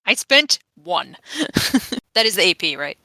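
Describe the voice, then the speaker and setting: A woman's voice: on the low side, sing-song, measured pace, sharp tone, no echo. A woman playing a tabletop game, chatting casually in a wry, rambling way.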